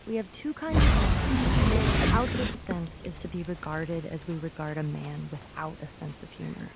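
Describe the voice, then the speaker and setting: Actress as woman reciting philosophy, low creaky voice, game audio log, heavy noise. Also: normal speed, lightly acted. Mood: reflective. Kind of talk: reading quotations in game audio logs. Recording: loud noise behind the voice.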